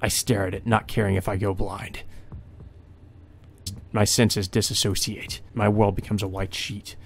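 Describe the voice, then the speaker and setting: A man's voice: lowish voice, flat delivery, measured pace, vocal fry. A man hosting a let's play, reading visual novel narration aloud and adding his own off-the-cuff reactions.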